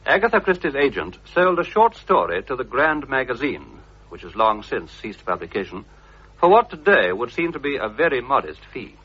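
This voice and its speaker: A man's voice: medium pitch, big pitch swings, moderate pace, breathy edge. A man presenting a scripted radio documentary. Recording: dull vintage radio recording.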